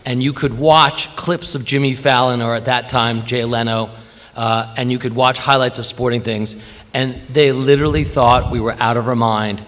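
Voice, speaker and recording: A man's voice: medium pitch, a bit bright. A man speaking as a panelist at an industry conference, making firm, opinionated points. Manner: assertive, opinionated. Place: conference hall. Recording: reverberant conference hall.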